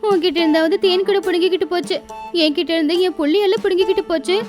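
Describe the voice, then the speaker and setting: Woman as a shrill, fast, aggrieved animal parent. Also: steady tone, darkish tone. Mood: aggrieved, pleading. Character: complaining animal parent of the forest. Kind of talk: animated animal fable dialogue. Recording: music behind the voice.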